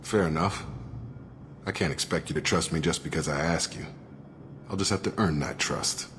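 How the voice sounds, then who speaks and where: An actor playing an earnest, plain-spoken soldier in a video game, loyal but disillusioned, straightforward and respectful. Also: male voice, a bass voice, normal speed, a bit bright. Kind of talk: video game dialogue acting. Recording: reverberant, noisy game audio.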